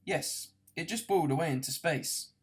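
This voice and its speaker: A man's voice: on the high side, moderate pace, breathy edge, voice pushed up. A man plays an easygoing galactic hitchhiker in a comic storybook. He is breezy and pleased with himself, explaining everything in a matter-of-fact way. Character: breezy, self-satisfied alien travel-guide researcher, easygoing man.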